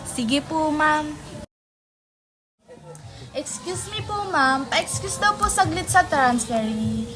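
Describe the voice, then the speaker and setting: A woman's voice: sits high, lively intonation, takes it slowly, pauses often, over music. A woman acting a sassy, tattling teenage schoolgirl with a Filipino accent in a comedic radio drama, with a pointed, teasing manner. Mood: polite.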